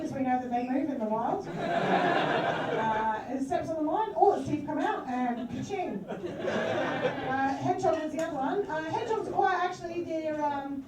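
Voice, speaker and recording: A woman's voice: sits high, moderate pace, heavy background noise, close to an everyday voice. A woman playing a cheerful female tooth fairy for animals, improvising in a rambling way full of fillers at a live comedy show. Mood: playful. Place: live venue with audience. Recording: echoey room, far mic, loud crowd.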